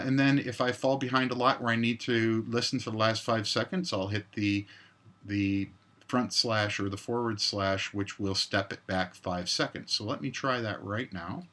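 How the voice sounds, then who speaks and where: A man presenting a step-by-step software tutorial in a voice-over. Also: mid-range voice. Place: indoors, screen recording voice-over.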